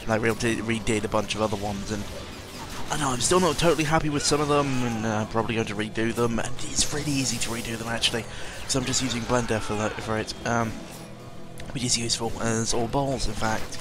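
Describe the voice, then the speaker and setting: A man giving a casual, rambling audio-log monologue as host, sardonic and cynical, often interrupting himself and swearing freely. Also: fairly low pitch.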